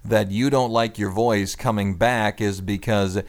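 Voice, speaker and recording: A man hosting a conversational radio talk show with a radio announcer's deep voice, explaining and bantering in an opinionated way. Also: fairly even intonation, a little slow.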